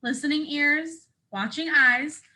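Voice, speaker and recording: A woman teacher reading aloud to young children, explaining things simply in an animated way. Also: high-pitched, slow pace, poor audio. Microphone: speaking to a hand-adjusted camera.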